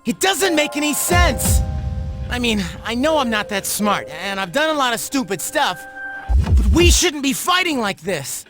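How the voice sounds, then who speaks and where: High, strained, impulsive teenage boy. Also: very animated, unhurried, exaggerated voice. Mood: distraught. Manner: pleading, strained outbursts. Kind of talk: anime game voice acting. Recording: loud music over the voice. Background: loud music.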